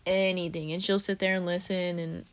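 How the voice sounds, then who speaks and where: A woman's voice: lowish voice, little pitch movement, moderate pace. A young woman co-hosting a casual chat, talking about her drive-thru job in a loose, chatty way with lots of fillers. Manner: chatty, lots of "like", acts out quoted voices. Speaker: podcast co-host, drive-thru worker.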